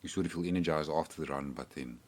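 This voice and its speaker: A South African man with an extremely deep, rough-edged voice. He chats casually as on a podcast, rambling and repeating words as he goes. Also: fast. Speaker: podcast guest and co-host. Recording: remote call.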